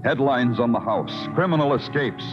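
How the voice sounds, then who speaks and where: An actor playing a weary, brooding, hard-boiled police detective in a 1950s radio noir drama. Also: male voice, medium pitch, moderate pace, lightly acted. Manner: terse, world-weary, poetic narration. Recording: muffled old radio recording with music.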